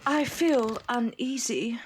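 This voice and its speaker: An actress playing a stern, cold, secretive older mother with measured speech in a Victorian audio drama. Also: fairly high pitch, background noise. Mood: uneasy, suspicious. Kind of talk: audio drama acting of Victorian period fiction.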